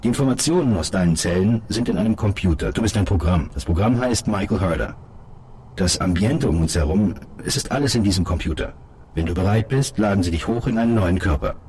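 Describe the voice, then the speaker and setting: Radio drama casting: calm colleague, very low voice, quick delivery, standard German, direct and reassuring, faint ambience behind. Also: male voice. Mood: matter-of-fact. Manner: measured wording, reassuring, direct. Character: calm, matter-of-fact male colleague patiently explaining hard news.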